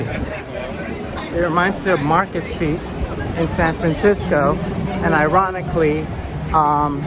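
A man in his thirties from the United States, chatting casually while walking around a city and filming himself on a phone at arm's length. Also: a little high. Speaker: travel vlogger. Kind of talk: casual walking-tour vlog. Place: outdoors on city streets, parks and in shops. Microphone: phone held at arm's length.

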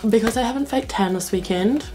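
A young woman in her twenties with a New Zealand accent, chatting casually to camera in a vlog, her voice croaky from a cold. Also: a little high, a little fast, over music. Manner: chatty, upbeat, lots of "like" and "um". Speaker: vlogger. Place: small bedroom and kitchen indoors.

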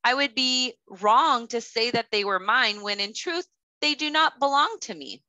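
A woman's voice: fairly high pitch, expressive, measured pace, pushed and bright, dry recording, dull audio. A woman voicing an honest, humble woodsman in a children's storybook, earnest and plain-spoken. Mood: sincere. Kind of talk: children's storybook read-aloud.

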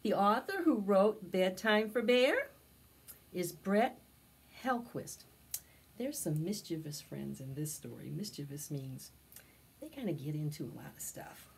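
A woman's voice: on the low side, expressive, measured pace, gravelly, slight background noise. A middle-aged woman reading a picture book aloud to preschoolers, expressively, stretching out words for young listeners. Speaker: library storytime reader. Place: indoors, in front of a decorated backdrop.

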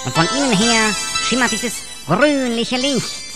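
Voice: medium pitch, expressive, unhurried, music in the background, lightly acted. A young performer voicing a helpful turtle who guides space travellers in an amateur children's audio drama. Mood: helpful, guiding.

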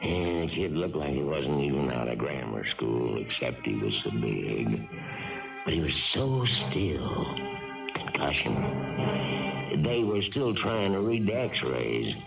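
An actor playing a gruff, worried baseball man, plain-spoken and weary, telling his story in a 1970s radio drama. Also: a bass voice, sing-song, slow, exaggerated voice. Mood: somber. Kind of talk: 1970s radio drama acting, first-person narration. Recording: muffled old radio broadcast, distant, faint music.

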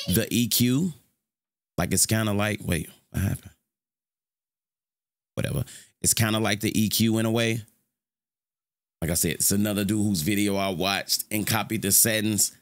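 Young man, very deep voice, dry close sound, casual rambling tutorial, slangy. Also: fairly bright tone, no echo. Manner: loose and rambling, slangy, with filler phrases. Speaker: streamer showing mic settings. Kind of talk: casual screen-recorded tutorial. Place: home streaming room with purple lights.

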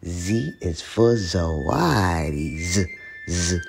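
A man's voice: low-pitched, animated, drawn-out words, gravelly, music in the background. A man hosting a children's read-along, reading aloud in a simple, instructive way.

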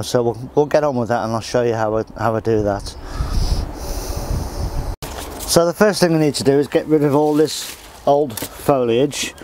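A man's voice: medium pitch, a little mellow. Middle-aged man, plain practical delivery, outdoor allotment, faint background hiss. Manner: plain, practical, talks while working. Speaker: allotment gardener. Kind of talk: casual how-to demonstration.

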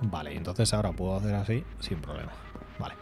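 A man's voice: low voice, fast. Casting: man, 30s, accent from Spain, rambling casual game-stream chatter, faint music underneath. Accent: Spanish from Spain. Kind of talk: casual gameplay commentary.

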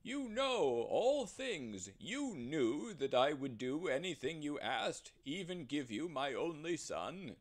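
Guy doing an upset old dad, raised pleading voice, higher, storybook. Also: very animated, takes it slowly, few pauses, full character voice. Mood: anguished. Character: anguished older man, devoted father.

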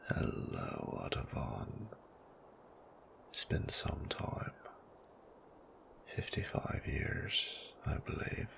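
A man voicing a gravelly, sly old vampire. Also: extremely low pitch, voice pushed down, exaggerated voice. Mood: teasing. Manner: slow, silky, creaky whisper. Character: sly ancient male vampire, teasing and menacing. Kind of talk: ASMR audio roleplay.

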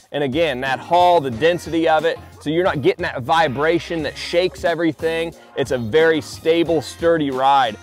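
A man walking through his fishing boat outdoors, talking in a relaxed, chatty way with many fillers and restarts. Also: medium pitch. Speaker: pro angler giving a boat tour. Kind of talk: casual walkthrough vlog.

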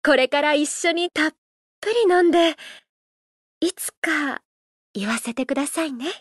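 A woman voicing a gentle, playful idol who loves a drink, speaking politely and teasingly in a mobile game. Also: high voice, no room sound, studio quality. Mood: tender, teasing. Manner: polite, unhurried, teasing, softly flirtatious. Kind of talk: Japanese mobile game character voice lines.

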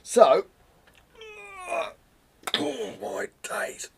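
A man, chatting casually to camera as a photography vlogger, self-mocking and full of jokey asides, a little hoarse from a cold. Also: sits high, very animated, very slow, very noisy. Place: home studio room, indoors.

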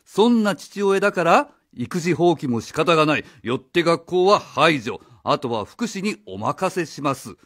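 Husky, blunt, accusing man played by an actor. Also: a little high, big pitch swings, a little slow, hardly any pauses, fairly bright tone, heavily acted. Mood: sarcastic, accusing. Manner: rhetorical, confrontational, rough informal speech. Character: blunt, accusing male outsider challenging authority. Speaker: actor in a radio drama. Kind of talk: Japanese radio drama acting. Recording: faint music behind the voice.

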